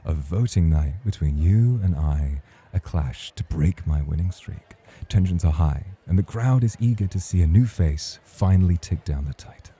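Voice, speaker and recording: Guy acting a super deep dark velvety club host, husky, smug n courtly, teasing u in an asmr roleplay. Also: animated, moderate pace, hardly any pauses, heavily acted. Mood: teasing, courting. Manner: smooth, courtly, playfully provocative. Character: suave, teasing, self-assured champion nightclub host.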